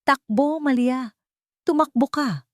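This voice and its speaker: A woman's voice: sits high, slow, no echo, studio quality, voice pushed up. A woman voicing a brave, protective fisherman hero in a drama story, urgent and defiant. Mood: desperate urging.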